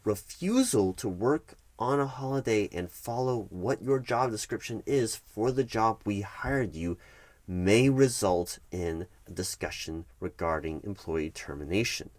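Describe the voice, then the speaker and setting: A man performing a one-sided ASMR roleplay as a firm, bureaucratic HR manager, explaining things in a measured, officious way with halting emphasis. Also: on the low side, lively intonation, no echo.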